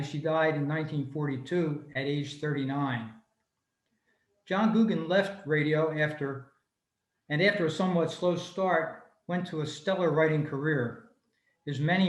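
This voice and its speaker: Male club presenter, slightly high-pitched, reading prepared notes on a video call from an echoey room. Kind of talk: scripted informational talk, read aloud. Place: room, remote video meeting.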